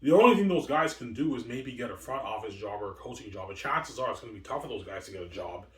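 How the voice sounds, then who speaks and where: A man's voice: on the low side, lively intonation, fast talker, fairly bright tone. A man in his forties rambling in a long monologue, repeating himself, stumbling over words and answering his own questions. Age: 40s. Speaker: video host. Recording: echoey room, far from the mic.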